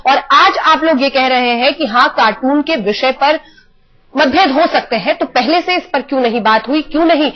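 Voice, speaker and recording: A woman's voice: high-pitched, moderate pace. A woman anchoring a live TV news debate, putting pressing questions and cutting in on her guests. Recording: dull lo-fi broadcast audio.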